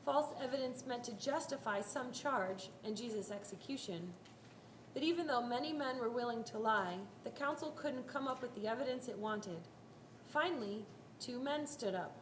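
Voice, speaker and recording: A woman's voice: fairly high pitch, normal speed. A woman narrating a scripted dramatic reading of a Bible story. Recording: roomy echo, faint background noise.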